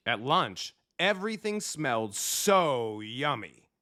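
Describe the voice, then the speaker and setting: Male storybook reader: very lively intonation, medium pitch, expressive, emphatic delivery for young children. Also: slow. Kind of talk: animated children's story narration.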